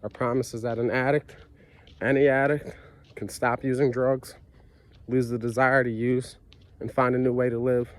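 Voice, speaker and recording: A man's voice: medium pitch, level tone, normal speed, pauses often, dry recording. A stuffy-nosed man with a cold, talking earnestly and casually about his own life, with lots of fillers and repeated phrases. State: congested with a cold, stuffy nose. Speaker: vlogger sharing his recovery story. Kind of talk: casual personal monologue.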